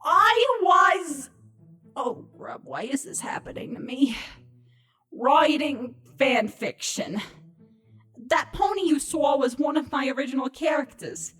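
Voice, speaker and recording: A woman's voice: sits high, animated, slow pace, a bit bright, heavily acted. A woman voicing a flustered, defensive young female changeling, stammering and exasperated, in a comedic audio story. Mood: embarrassed confession. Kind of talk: animated audio-drama acting of a comedy story.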